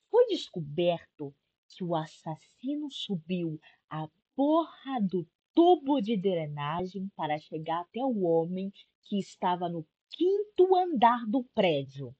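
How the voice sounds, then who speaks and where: A woman's voice: medium pitch, sing-song, measured pace, warm tone, slightly rough. A young woman with a Brazilian accent giving casual, sarcastic video-essay commentary, informal and prone to swearing, on a slightly dull lo-fi microphone. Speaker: YouTube commentary host.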